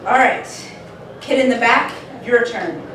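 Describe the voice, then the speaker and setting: Woman acting high-pitched exasperated schoolteacher, echoey hall. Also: a little slow, slight background noise. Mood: stern. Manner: expressive, patronizing, exaggerated sighing exasperation. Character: bubbly but exasperated young superhero schoolteacher. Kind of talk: live scripted comedy table read. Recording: very echoey convention hall, distant mic.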